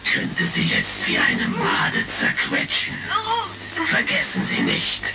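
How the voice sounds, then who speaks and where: An actor playing a menacing, imperious baron, a space pirate villain in a retro radio play, with threatening formal speech. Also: male voice, extremely low pitch, takes it slowly, vocal fry, heavily acted. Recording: distant, crackly vintage radio-play record. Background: loud music.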